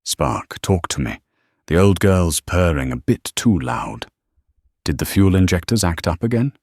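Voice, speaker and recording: Gruff veteran captain, low chesty rumble with some rasp, swinging intonation, played by a man. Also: expressive, unhurried, darkish tone, no room sound, clean studio sound, heavily acted. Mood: relaxed. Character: gruff grizzled bearded male starship captain.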